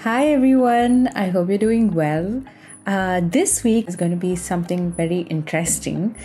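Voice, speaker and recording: A woman's voice: fairly low pitch, normal speed, dark tone, soft background music. A woman from India hosting a podcast, talking in a cheerful, chatty way with some fillers. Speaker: podcast host. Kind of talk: casual podcast talk.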